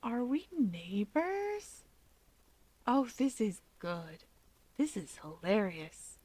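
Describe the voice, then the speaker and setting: A quiet, drawling teenage boy, voiced by a woman narrator, smug and bratty as a little brother in an audiobook. He sounds ailing and deadpan, slow to get his words out. The recording is dry. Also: on the high side, husky, no room sound, full character voice. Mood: gleeful. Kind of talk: fan fiction audiobook character voice.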